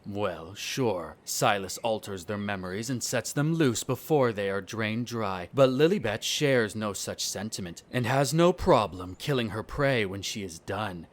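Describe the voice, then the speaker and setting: A man acting a haughty, bitter centuries-old vampire noble in an audio roleplay, speaking in a formal, measured, condescending way. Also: fairly low pitch, close to an everyday voice. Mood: cold, probing. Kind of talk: scripted roleplay audio drama monologue.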